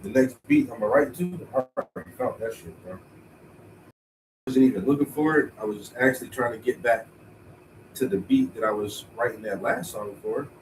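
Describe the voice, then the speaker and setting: A man thinking aloud in a relaxed, rambling way as he paints, sounding stuffy and tired with a cold. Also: mid-range voice, recorded from a distance, slight background noise, rough recording. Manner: laid-back, rambling, thinking aloud, swears casually. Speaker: painter streaming while painting. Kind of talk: casual talk to himself while painting.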